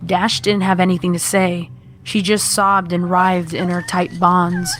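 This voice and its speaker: A woman's voice: fairly low pitch, flat delivery, unhurried, fairly bright tone, a little hiss or hum. A woman narrator reading a scripted horror story.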